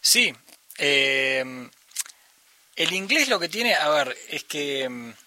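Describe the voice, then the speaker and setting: A man with an Argentine accent, a musician talking thoughtfully in an interview and often pausing to search for the right word. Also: on the high side, big pitch swings, sharp tone, dry recording. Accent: Argentine Spanish. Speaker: musician guest. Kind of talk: reflective conversational interview.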